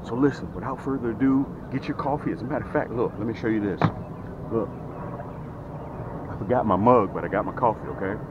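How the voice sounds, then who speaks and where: A man's voice: lowish voice, a little fast, dull audio. Casual middle-aged guy filming himself by the lake, hyped sales talk, keeps saying okay and guys, a bit of outdoor noise behind him. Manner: animated, repeats "okay?" and "guys", gestures with hands. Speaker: fishing vlogger and tackle seller. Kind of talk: energetic sales pitch and fishing vlog. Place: outdoors at a lakeshore. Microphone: camera held close to the face.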